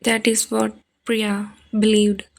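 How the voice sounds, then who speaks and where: A woman playing a solemn, ominous storyteller, narrating scripted horror in a deliberate, flat way. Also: mid-range voice, gravelly.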